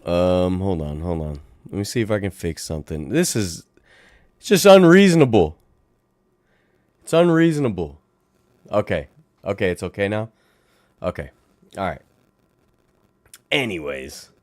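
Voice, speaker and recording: A young man with a raspy voice, hosting a casual late-night livestream from home and chatting playfully with viewers. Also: sits low, measured pace, pauses often, no room sound, clean studio sound. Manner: animated, playful, talks to chat viewers. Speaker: livestream host.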